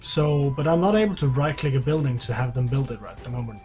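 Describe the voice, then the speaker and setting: A man's voice: mid-range voice, fast talker, darkish tone. A man casually commentating as he plays a game on a live stream, hosting a guest. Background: background music.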